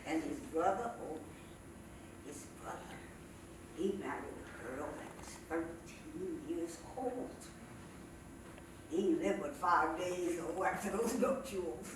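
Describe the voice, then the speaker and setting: A woman performing as a sassy, cranky old woman comedian, telling stand-up stories in a folksy, drawn-out way with dramatic pauses. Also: low-pitched. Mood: mischievous storytelling. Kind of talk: theatrical stand-up storytelling monologue. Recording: echoey, noisy stage recording from far off.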